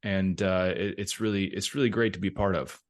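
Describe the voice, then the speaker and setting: Low-voiced middle-aged man being interviewed. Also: steady tone, normal speed. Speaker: tech company CEO, interview guest. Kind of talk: conversational explanation.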